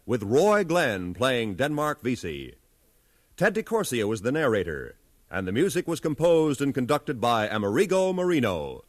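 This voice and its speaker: A man reading scripted credits as a formal, clearly enunciated 1950s radio network announcer. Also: mid-range voice, no echo, clean studio sound. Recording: 1950s radio broadcast recording.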